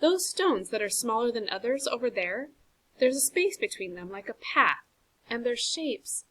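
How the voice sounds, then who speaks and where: A timid young boy from a storybook, voiced by a woman reading aloud, speaks in halting, stammering bursts. His tone is bright and unsure, never quite settling into confidence. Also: fairly high pitch, lively intonation, poor audio. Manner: hesitant, stammering.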